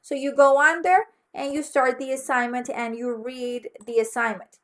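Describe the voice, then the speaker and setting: High-pitched woman instructor, Mexican accent. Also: steady tone, normal speed. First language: Spanish. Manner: instructive, frequently checks understanding with "okay?". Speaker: college instructor. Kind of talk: online course orientation walkthrough.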